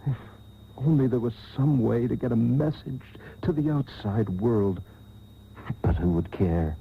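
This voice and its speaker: An actor playing a vain, self-pitying rich heir held captive, declaiming melodramatically in a parody radio soap. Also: male voice, medium pitch, measured pace, vocal fry. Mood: despairing. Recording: tinny, hissy taped radio broadcast.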